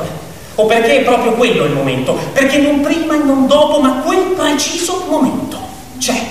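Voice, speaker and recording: A man narrating a fable as a theatrical dramatic monologue, with emphatic build-ups and pauses. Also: high-pitched, slight background noise. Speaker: actor and storyteller. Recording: echoey, distant reverberant hall with music.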